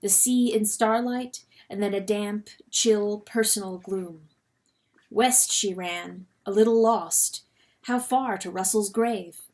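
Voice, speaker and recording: A woman reading a novel aloud as dramatic narration, expressive and full of suspense. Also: medium pitch, slow. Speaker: audiobook reader.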